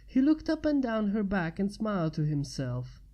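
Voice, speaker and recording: A woman's voice: mid-range voice, big pitch swings, normal speed, dark tone. A woman reading fan fiction aloud as scripted narration.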